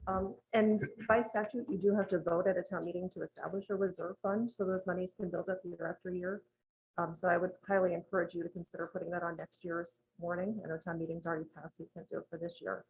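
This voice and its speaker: A woman advising a town board on budgeting, explaining rules in a measured, methodical way with frequent ums, in a town meeting room. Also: on the low side, little pitch movement, breathy edge, rough recording. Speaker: municipal finance advisor presenting to a town board.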